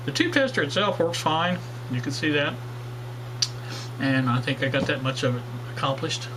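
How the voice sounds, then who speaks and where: A man's voice: fairly high pitch, expressive, normal speed, background noise. A man hosting a casual workshop vlog on old radios, talking in a rambling way with many fillers and restarts. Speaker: radio hobbyist channel host.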